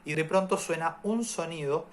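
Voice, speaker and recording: A man teaching music theory in a calm, methodical video lesson, walking through examples step by step. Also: on the high side, moderate pace.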